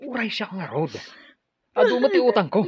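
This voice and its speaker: A man's voice: sits high, wildly swooping pitch, moderate pace, slightly rough, dry recording, exaggerated voice. A man acting in a radio drama as a shifty, flustered office schemer, stammering and evasive. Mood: agitated, regretful. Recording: dull muffled radio drama recording.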